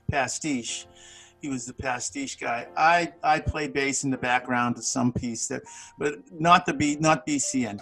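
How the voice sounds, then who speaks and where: A man in his sixties, a studio manager and bass player, chatting casually on a video call, rambling with many restarts and chuckles. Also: vocal fry, fairly bright tone, poor audio. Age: 60s.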